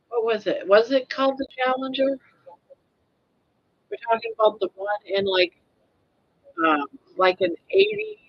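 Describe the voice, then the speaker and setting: A woman's voice: sits high, husky, muffled recording. A woman in her sixties hosting a casual live stream, chatting in a rambling way and restarting her sentences. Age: 60s.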